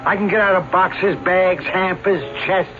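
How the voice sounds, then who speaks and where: An actor in a radio drama playing a boastful, stubborn aging escape artist who talks in brash slang. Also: male voice, sits high, fairly even intonation, unhurried. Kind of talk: old-time radio drama acting. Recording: dull radio recording, loud music.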